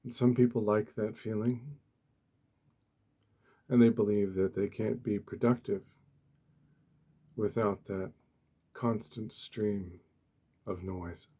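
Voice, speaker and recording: A man speaks in a hushed, breathy ASMR style at a very slow pace. His voice sits fairly low and has a dark, mellow tone. He leaves deliberate pauses between short, gentle phrases. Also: fairly even intonation. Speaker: ASMR host. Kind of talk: soft-spoken ASMR meditation talk.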